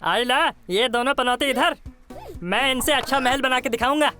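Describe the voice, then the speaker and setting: A woman voicing a cheeky, scheming, excitable little boy in a comedy cartoon. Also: high voice, moderate pace, faint music behind. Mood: exasperated, excitable. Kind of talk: Hindi comedy cartoon voice acting.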